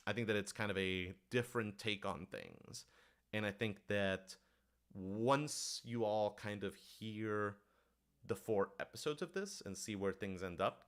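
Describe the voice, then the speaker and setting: A man's voice: on the low side, fairly bright tone. A man speaking casually and earnestly on a question-and-answer livestream, enthusiastic and given to rambling asides. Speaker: writer-director answering audience questions.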